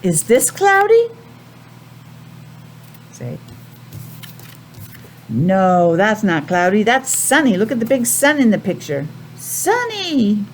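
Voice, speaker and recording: An American woman teaching young children a simple lesson in a sing-song, questioning way with pauses. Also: medium pitch, huge pitch swings, slight background noise. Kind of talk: children's calendar and weather lesson.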